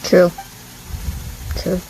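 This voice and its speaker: A woman giving casual, informal gameplay commentary in brief reactive interjections. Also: extremely slow. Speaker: gaming content creator. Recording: faint background noise.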